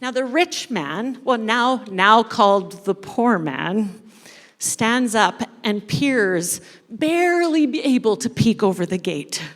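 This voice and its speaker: Woman doing playful dramatic story reading live, kinda high voice, slow with big pauses, big echoey hall. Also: animated. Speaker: church storyteller leading an interactive dramatic reading. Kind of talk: theatrical live scripted narration of a parable. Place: large indoor church space before an audience. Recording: echoey hall with long reverb.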